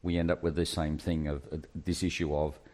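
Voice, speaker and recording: Deep-voiced man reasoning through a point. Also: flat delivery, a little fast, darkish tone. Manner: reasoned, stammering restarts. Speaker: player. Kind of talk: casual chat.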